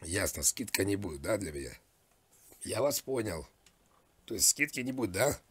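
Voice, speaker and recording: A man making a deadpan prank call as a demanding customer, nagging and repeating himself in a pushy way. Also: on the low side, moderate pace, breathy edge, no room sound. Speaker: prank caller.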